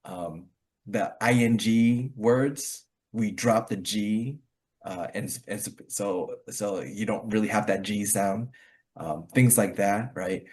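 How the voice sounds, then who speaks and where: Low-voiced Connecticut man, casual rambling host. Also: takes it slowly, darkish tone. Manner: rambling with many fillers and restarts, laughs easily. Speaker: club host. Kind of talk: casual conversation practice.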